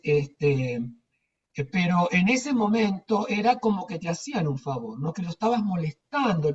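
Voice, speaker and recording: A woman's voice: on the low side, a little mellow. An older woman with an Argentine accent, telling anecdotes casually in a rambling, emphatic way with repetitions. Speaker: theatre teacher. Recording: distant, dull muffled microphone.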